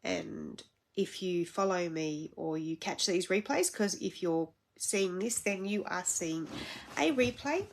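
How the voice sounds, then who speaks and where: A woman's voice: lowish voice, takes it slowly. An Australian woman with a blocked-up, congested nose, chatting casually as she hosts a live crafting stream, rambling with many fillers.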